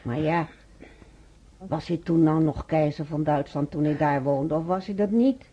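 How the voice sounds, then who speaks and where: An actress playing a nosy, needling woman patient with a husky voice and persistent questions in a radio play. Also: low voice, unhurried, dark tone. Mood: inquisitive. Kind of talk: naturalistic radio play acting. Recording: muffled radio play recording.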